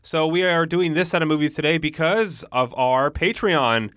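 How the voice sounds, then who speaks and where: A man's voice: fairly high pitch, moderate pace, a bit bright, smooth, dry recording. A man co-hosting a podcast, chatting casually and making dry, sarcastic jokes. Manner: joking, dry sarcastic asides. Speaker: podcast co-host. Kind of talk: casual chat.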